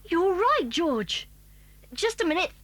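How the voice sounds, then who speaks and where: High-pitched sensible British boy. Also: very animated, slow, a bit bright, a little hiss or hum, exaggerated voice. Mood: alert, cautious. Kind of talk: children's audio drama acting.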